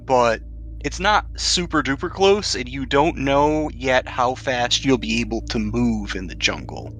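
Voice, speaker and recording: Bright-voiced man running a tabletop game. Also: medium pitch, unhurried, slight background noise. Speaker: game master. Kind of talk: casual explaining while running a tabletop game.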